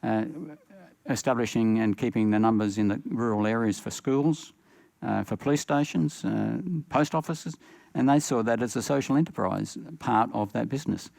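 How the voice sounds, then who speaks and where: An older man with an Australian accent speaking on a panel, pausing often with fillers. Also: medium pitch, gravelly, darkish tone, no echo, low-quality recording. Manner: halting, many uh fillers. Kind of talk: panel discussion.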